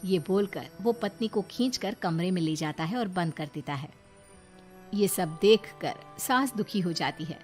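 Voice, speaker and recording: A woman's voice: fairly low pitch, expressive, measured pace, darkish tone. Woman narrating a cartoon story. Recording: faint background music.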